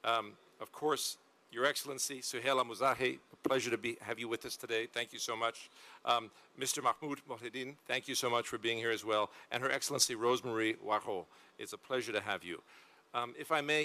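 A man's voice: medium pitch, fairly even intonation, fast talker, bright, forceful tone. A man moderating a panel on a conference stage, speaking emphatically with rhetorical repetition. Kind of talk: formal panel introduction.

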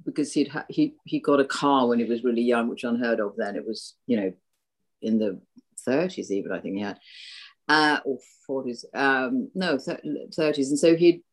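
A woman's voice: extremely low pitch, normal speed, low-quality recording. An older woman born in Hungary, with an accent of a Hungarian long settled in England, recalling her past in a rambling interview with many restarts. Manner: rambling, frequent restarts and fillers. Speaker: guest. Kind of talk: interview conversation.

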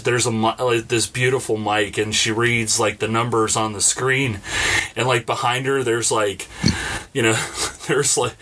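Bright-voiced man joking on a podcast. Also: medium pitch, slight background noise. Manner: animated storytelling, joking. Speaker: podcast host. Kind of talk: casual podcast chat.

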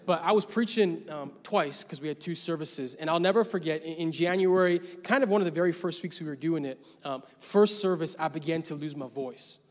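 A man's voice: a little high, brisk, hardly any pauses. A young adult man preaching a sermon as pastor, telling a story casually and building to emphatic points. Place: large church hall. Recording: long church hall reverb.

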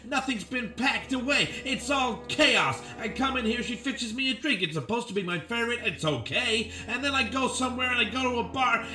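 A man's voice: high-pitched, pushed and bright. A man playing a confused, desperate ex-cop with amnesia in an improvised film noir audio play, anxiously repeating himself. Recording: roomy echo with faint music.

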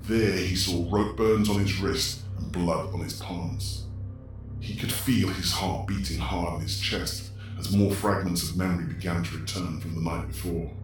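A man giving a dramatic, scripted narration of a horror story. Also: low-pitched. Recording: echoey, distant, under prominent music. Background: prominent music.

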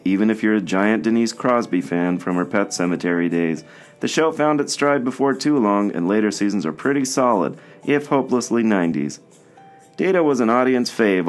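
Podcast co-host, low voice, deadpan sarcastic read of a scripted bit, faint music under. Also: male voice. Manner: dry, sarcastic delivery. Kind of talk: scripted comedic list segment.

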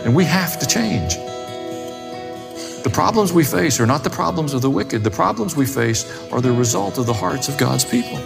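A man's voice: a little high, expressive, moderate pace, gravelly. Male preacher in a reverberant hall. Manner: emphatic, plain-spoken, repeats short parallel phrases for stress. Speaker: pastor, preacher. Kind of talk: sermon. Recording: long reverberant hall, faint music.

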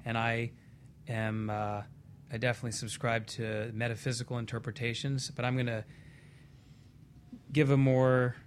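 A man speaking as a guest expert in a panel discussion, giving measured explanations with careful, halting phrasing. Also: medium pitch, level tone, slight background noise.

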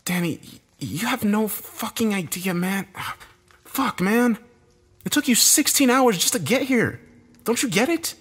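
A man's voice: high-pitched, expressive, a little slow, a bit bright, husky, voice pushed up, exaggerated voice. A man voicing a desperate, bitter man in a dramatic story, agitated, urgent and given to swearing. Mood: bitter, furious. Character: Josh, desperate bitter man, cornered game loser.

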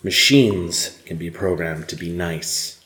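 An actor playing a stern, battle-hardened rebel commander in an audio drama, measured and commanding, with simmering anger held under control. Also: male voice, sits low, sharp tone, heavily acted, low-quality recording. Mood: impassioned, intense.

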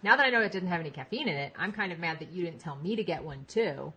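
A woman in her thirties, a podcast guest talking in a rambling, animated way and laughing easily. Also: fairly low pitch, fast, slightly rough. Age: 30s. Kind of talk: casual chat.

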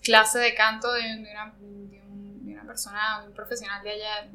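A woman's voice: medium pitch, monotone, normal speed, bright, forceful tone, faint music behind. A Venezuelan woman from Margarita Island answering interview questions in a reflective way, often searching for words. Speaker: interview guest. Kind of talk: conversational interview.

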